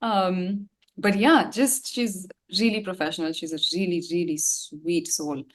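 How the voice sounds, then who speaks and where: A woman's voice: fairly low pitch, steady tone, a little slow. A woman chatting casually as an interview guest, effusive and full of slang. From India. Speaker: singer and musician, interview guest. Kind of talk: casual chat.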